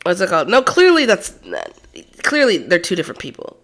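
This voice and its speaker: A woman streamer chatting casually while playing a game live, a little hoarse and coughing as her voice wears out. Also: fairly low pitch, wildly swooping pitch, a little fast, a bit bright. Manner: chatty, casual, thinking aloud. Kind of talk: live game playthrough commentary.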